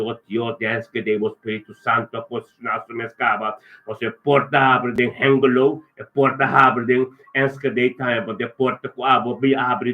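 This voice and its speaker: Phone-like recording, man praying. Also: mid-range voice. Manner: repetitive, invocatory, punctuated by refrains. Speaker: prayer leader. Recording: thin narrow phone-like sound.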